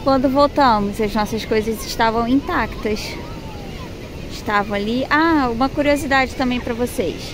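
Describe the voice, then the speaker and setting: Woman, 30s, Brazilian Portuguese accent, travel vlogger, chatty, slightly high voice, outdoor phone recording, clear street noise. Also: moderate pace. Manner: chatty, repeats words for emphasis. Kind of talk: casual travel vlog. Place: outdoors by a seaside town, windy. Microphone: phone camera held at arm's length. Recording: outdoor phone audio with wind and traffic noise.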